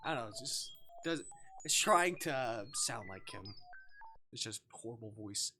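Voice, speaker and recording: A male streamer reading and reacting to a visual novel. His voice sits in the middle range and is bright and very lively, with music playing behind it. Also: mid-range voice, unhurried. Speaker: live streamer.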